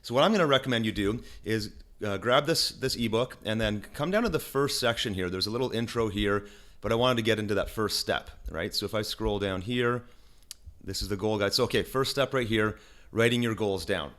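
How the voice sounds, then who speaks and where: A man with a low, deep voice teaches through a casual screen-recorded tutorial. He is chatty and energetic, restarts his phrases often and keeps checking in with the viewer. He speaks into a desk microphone. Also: brisk. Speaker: online marketing instructor.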